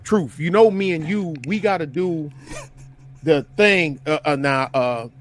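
Fifties guy, high voice, rambly, keeps restarting sentences. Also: a little slow. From Detroit, USA. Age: 50s. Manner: rambling, searching for words, many restarts. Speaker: podcast co-host. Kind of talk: casual group banter.

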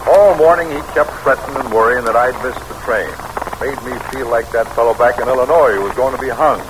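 Weary American statesman played by a male actor, middle-aged, folksy and wry, reflective delivery, old radio drama with heavy background noise. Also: natural voice. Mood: wry storytelling. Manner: reflective, unhurried, drily humorous. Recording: noisy old radio recording, rumbling background.

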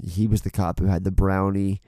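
Podcast co-host, man, low voice, stuffy nose, loose and rambly, dry close sound. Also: flat delivery, fairly quick, warm tone, dry recording. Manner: rambling, swears casually, many fillers and restarts. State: congested from a head cold. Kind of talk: casual film podcast chat. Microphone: desk mic on an arm.

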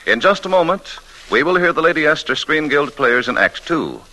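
Forceful old-time male radio announcer. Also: on the high side, very animated, moderate pace. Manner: formal, emphatic presenting. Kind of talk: 1940s radio announcing, scripted. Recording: noisy vintage radio broadcast, faint crowd.

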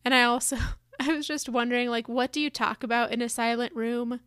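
A soft-spoken woman co-hosting a podcast, talking thoughtfully in casual chat. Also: fairly high pitch, fairly even intonation, fairly quick, clean tone, no room sound, studio quality. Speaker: podcast co-host.

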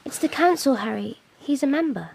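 A woman's voice: sits high, expressive, moderate pace, gravelly, a little mellow, a little hiss or hum, poor audio. An actress playing a proud young queen in a radio drama, firm and soothing with her weak husband. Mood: firm, urging. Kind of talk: dramatic radio play acting with narration.

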